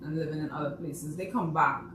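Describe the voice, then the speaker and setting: Trinidadian woman guest, animated storyteller, echoey room, mic at a distance. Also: sits low, fairly quick, noisy background. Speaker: guest playwright. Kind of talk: casual chat.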